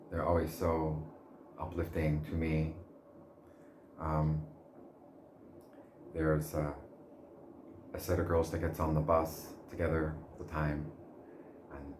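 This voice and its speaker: A man with a very deep, flat voice talks slowly and deliberately in a casual vlog monologue. He pauses often and laughs softly now and then. The sound is roomy, with a faint hum behind him. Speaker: language learner vlogger.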